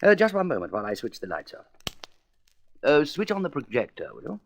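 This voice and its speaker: A man's voice: fairly high pitch, wildly swooping pitch, brisk, slight background noise. A man playing a courteous, grave president of a space council in a radio serial, formal and emphatic. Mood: explanatory. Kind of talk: vintage science-fiction radio serial acting.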